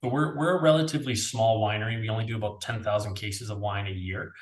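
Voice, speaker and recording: A man's voice: fairly low pitch, steady tone, quick pace. A Canadian man in his thirties giving casual, chatty explanations as an interview guest on a video call. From Niagara region, Canada. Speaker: winery owner being interviewed. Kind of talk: conversational interview answers. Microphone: video call, earphones. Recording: roomy, echoey video call audio.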